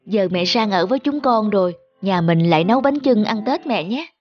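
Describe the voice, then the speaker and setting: A woman's voice: mid-range voice, animated, moderate pace, husky, highs cut off. A woman playing a kind, dutiful young mother in a cartoon, with a polite, soothing way of talking. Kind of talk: animated cartoon dialogue.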